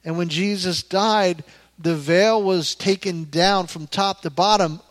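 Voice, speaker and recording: High-voiced preacher, man in his fifties. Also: steady tone, measured pace, dry recording. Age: 50s. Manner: conversational, emphatic, repeats phrases. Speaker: pastor. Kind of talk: informal sermon to a congregation.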